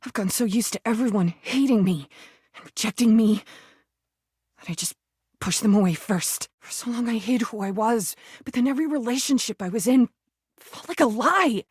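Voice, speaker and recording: A woman's voice: mid-range voice, darkish tone, husky, dry recording, studio quality. A woman voicing an angry, wounded female rubber duck in a video game, blunt and defensive but easily made vulnerable. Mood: vulnerable, apologetic. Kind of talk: video game character acting.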